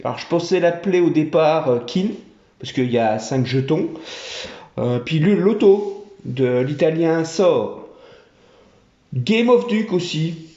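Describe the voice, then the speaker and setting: A man doing a fawning monkey general in a storybook tale, a chattering tax collector who talks up his king. The voice is raised and lively, swooping up and down, with a mellow tone. It sits in a roomy, dull-sounding recording. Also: a little high, slow. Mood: eager. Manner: obsequious, chattering.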